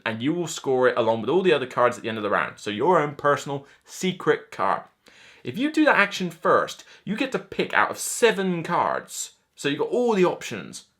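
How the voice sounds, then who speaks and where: Animated man, chatty reviewer. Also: on the high side. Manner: animated, chatty, acts out imagined reactions. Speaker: board game reviewer, video host. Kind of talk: casual unscripted review to camera. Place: indoor room lined with shelves of board games.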